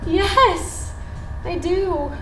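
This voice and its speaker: A woman's voice: very high voice, drawn-out words, a bit bright, exaggerated voice. An actress on stage playing an earnest, romantic woman, with theatrical, projected delivery. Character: Isabella, earnest, romantic woman recalling her youth. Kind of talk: live stage play acting. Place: indoor theatre stage, far from microphone. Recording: distant, echoey theatre stage recording with faint noise.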